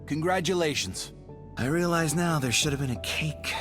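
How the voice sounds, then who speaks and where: An actor voicing a wry, joking squad agent in a video game, with dry humor. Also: male voice, fairly high pitch, normal speed, over music, close to an everyday voice. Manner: dry humor, lively delivery.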